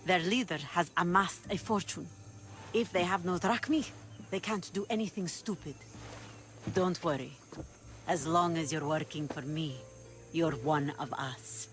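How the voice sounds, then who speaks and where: A woman's voice: fairly low pitch, unhurried, soft background music, lightly acted. An actress playing a shrewd, confident woman pirate leader who speaks in a commanding, dry, bargaining way in a game cutscene. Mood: cool. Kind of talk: video game cutscene acting.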